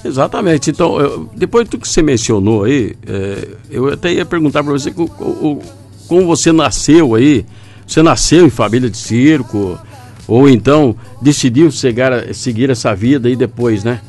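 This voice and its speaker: A man's voice: mid-range voice, lively intonation, normal speed, darkish tone, soft background music. A Brazilian man hosting a casual radio interview in a chatty, informal way. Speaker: radio host. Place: radio studio.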